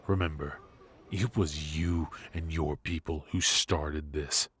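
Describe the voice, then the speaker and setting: A man playing a suave, ruthless mafia boss in a noir audio roleplay, menacing and teasing, talking smoothly in short, deliberate phrases. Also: very deep voice, steady tone, fairly bright tone, slight background noise, full character voice. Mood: menacing, restrained.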